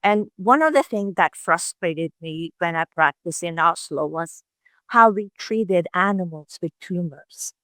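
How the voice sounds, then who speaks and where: Older Norwegian-accented woman, podcast guest; reflective storyteller with a lowish pitch and a close, dry sound. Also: lively intonation, no echo. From Norway. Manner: reflective, unhurried storytelling with soft fillers. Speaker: podcast guest, veterinary oncologist. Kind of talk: casual interview storytelling.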